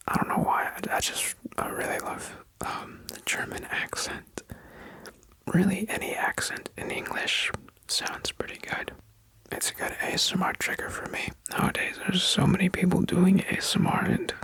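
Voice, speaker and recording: A young adult new ASMR creator chatting in a soft, unhurried whisper with frequent fillers. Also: medium pitch, vocal fry, husky, rough recording.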